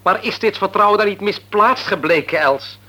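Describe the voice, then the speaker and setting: A man's voice: high-pitched, normal speed. A bitter grieving father. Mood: bitter, arguing. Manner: bitter and argumentative, then quietly despairing. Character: grieving father, bitter and doubting, middle-aged man. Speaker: despairing father. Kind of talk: radio play acting.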